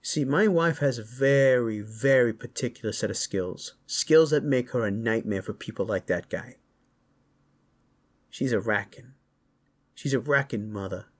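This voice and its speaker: A man's voice: medium pitch, unhurried, lightly acted. A man voicing a brash, impatient orc warrior and protective family man in a roleplay audio drama, swearing freely. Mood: menacing pride.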